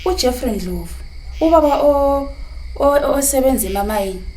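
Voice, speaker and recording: A woman's voice: high-pitched, expressive, normal speed, a little mellow. A woman with a Zimbabwean accent playing a worried, polite, burdened wife and mother in a radio drama. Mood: polite, anxious. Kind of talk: radio drama acting in Ndebele.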